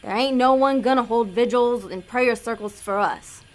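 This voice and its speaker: A woman plays a sharp-tongued lady demon in an audio drama. Her voice sits fairly high, and she scolds and schemes in blunt street slang. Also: normal speed, lightly acted. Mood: impatient.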